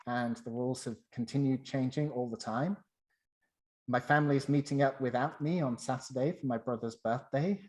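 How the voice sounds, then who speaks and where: A man's voice: mid-range voice, darkish tone, poor audio. A man from the UK with a British accent, presenting a semi-scripted personal anecdote.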